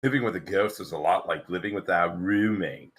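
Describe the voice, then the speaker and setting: A man playing an anxious, eager young man in a comedy screenplay read, with halting, emphatic delivery. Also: deep voice, fairly bright tone, pitched below the performer's own voice. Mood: deadpan explaining.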